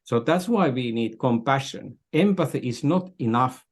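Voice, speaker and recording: An older man from Finland speaking as an interview guest, earnestly explaining his views in deliberate, halting phrases. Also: mid-range voice, animated. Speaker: podcast guest, business strategist.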